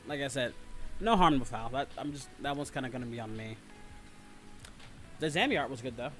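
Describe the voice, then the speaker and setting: A man's voice: mid-range voice, animated, fairly quick, fairly bright tone. Man rambling casually over music. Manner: rambling, profane. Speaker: livestream host. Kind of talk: casual chat with listeners. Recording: music playing behind the voice. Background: background music.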